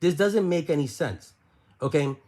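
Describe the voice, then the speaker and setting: A man's voice: fairly high pitch, lively intonation, normal speed, dark tone. Insistent man pressing hard on a call. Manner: insistent, keeps repeating "again" and pressing questions. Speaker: caller questioning his aunt. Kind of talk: heated phone argument.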